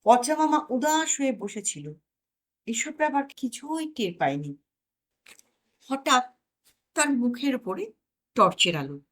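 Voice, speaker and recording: A woman, reading a comic story aloud as an expressive narrator. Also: sits high, a little slow, long pauses. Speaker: audio story narrator and channel host.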